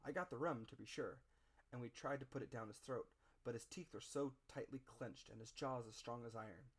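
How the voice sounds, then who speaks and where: Man reading a classic story out loud dramatically, mid pitch, theatrical, deliberate short phrases. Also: slightly rough. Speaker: narrator. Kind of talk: dramatic literary audiobook narration.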